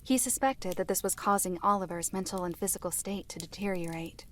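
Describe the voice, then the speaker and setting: A woman playing a composed seer who recounts events in a fantasy audio drama, formal and measured. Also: medium pitch, fairly bright tone, slight background noise, lightly acted. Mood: grave, composed. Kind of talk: visual-novel audio drama storytelling.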